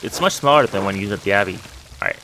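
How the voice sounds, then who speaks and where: A man's voice: on the low side, expressive, fast talker, sharp tone, slight background noise, poor audio. A man giving casual let's play commentary in muttered asides as he plays. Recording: music and rain behind the voice.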